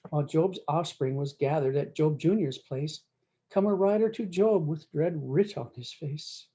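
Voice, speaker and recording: A woman's voice: low-pitched, moderate pace, warm tone, highs cut off. A woman reading a rhyming cowboy storybook aloud in a folksy way, with short asides to her listeners. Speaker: story time host reading a picture book.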